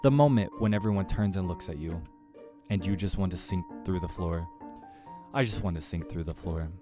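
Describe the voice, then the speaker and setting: A man's voice: low-pitched, moderate pace, music in the background. A man reading scripted first-person horror narration aloud from a visual novel. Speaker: game player reading aloud.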